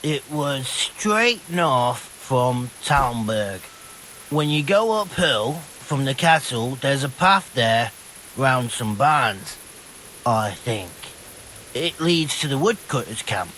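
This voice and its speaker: Slow, rambling simpleton young bandit. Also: male voice, medium pitch, expressive, a bit bright, a little hiss or hum, low-quality recording. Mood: rambling, vague. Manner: rambling, hesitant, simple-minded, colloquial. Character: dim-witted young man, rambling, easygoing petty deserter bandit. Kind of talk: video game dialogue acting.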